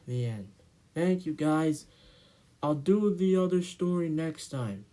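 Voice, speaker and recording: A man narrating a children's storybook aloud in an amateur, expressive read-aloud style. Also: on the high side, slow pace.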